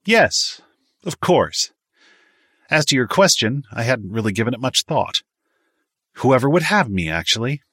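A male narrator puts on a haughty young man of the elite for an audiobook. The voice sits high and airy, with affected, condescending phrasing. It is recorded dry and close. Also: sing-song, moderate pace, sharp tone, breathy edge, dry recording, heavily acted. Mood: haughty, dismissive. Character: haughty, spoiled young upper-class man.

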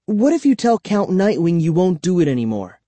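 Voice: medium pitch, big pitch swings, normal speed, dark tone, no echo, voice pushed up. A narrator voicing an earnest, nervous child hero, eager and full of anxious questions, in a storybook. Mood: anxious, curious.

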